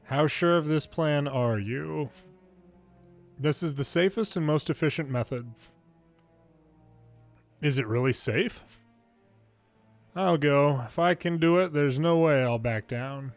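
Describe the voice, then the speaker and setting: A man's voice: on the high side, takes it slowly, pauses often. Man reading game dialogue over music. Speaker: video game commentator reading subtitles aloud. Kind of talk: dramatic read-aloud of game dialogue.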